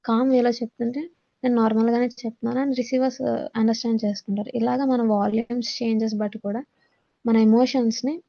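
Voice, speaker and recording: A woman teacher with a Telugu accent gives an instructional lecture, switching between English and her own language. Her voice sits a little high, with a soft, mellow tone and noticeable creak. The audio is dull and lo-fi. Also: little pitch movement, fast talker. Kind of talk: classroom-style lecture, reading English notes and explaining them.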